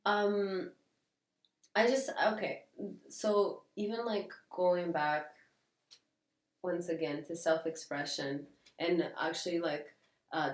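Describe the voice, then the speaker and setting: A woman's voice: lowish voice, a little mellow. A woman from Portugal, co-hosting a podcast in casual reflective chat, earnest and often searching for words. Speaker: podcast co-host. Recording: echoey room, distant mic.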